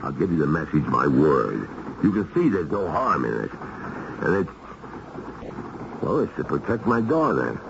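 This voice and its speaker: A gruff middle-aged American mobster, with a rock-bottom, crackling voice that swings widely in pitch. He wheedles in rough underworld slang, in old radio drama style. The recording is an old, noisy broadcast. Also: sing-song, unhurried, long pauses, lo-fi sound, exaggerated voice. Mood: pleading. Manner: tough underworld slang, ungrammatical. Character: gruff, wheedling gangster father, ailing convict. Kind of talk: 1970s radio drama acting.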